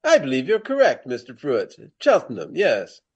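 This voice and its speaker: An actor playing an aging, pompous Regency gentleman of the stage in a radio play, nostalgic and theatrical, with florid phrasing and dramatic pauses. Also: fairly high pitch, wildly swooping pitch, slightly rough, highs cut off, full character voice. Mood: courteous.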